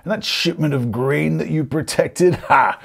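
A man's voice: on the high side, measured pace, creaky, breathy edge, heavily acted. A man voicing a triumphant, gloating supervillain who savors each reveal in a tabletop game monologue. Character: gloating male supervillain revealing his secret scheme.

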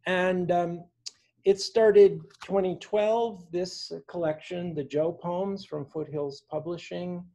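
Man in his sixties, poet reading aloud, unhurried and deliberate, mellow tone. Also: high-pitched, fairly even intonation. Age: 60s. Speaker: poet reading own work. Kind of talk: poetry reading at a virtual open mic, with informal introductions. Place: small room indoors at home.

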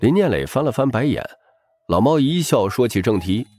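A man's voice: medium pitch, big pitch swings, normal speed, warm tone, soft background music. A man reading a novel aloud as a scripted audiobook narrator.

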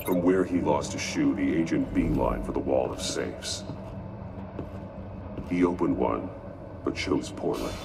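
An actor playing a stern masked vigilante detective in a video game, speaking in a terse, deliberate, analytical way with a gravelly growl. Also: male voice, extremely low pitch, distant mic, music in the background, full character voice. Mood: grim, analytical.

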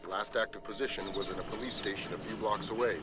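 A man's voice: fairly low pitch, fast, natural voice. A man playing a stern, middle-aged spy-agency commander, giving clipped, authoritative mission briefings in a video game. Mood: businesslike. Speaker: mission handler. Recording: loud music behind.

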